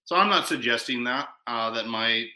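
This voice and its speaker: Podcast host, man, very bright, forceful tone, stop-start delivery with lots of repeats, recorded in an echoey room. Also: medium pitch, lively intonation. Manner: halting, with frequent restarts and stammered repeats. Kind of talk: casual chat about film awards.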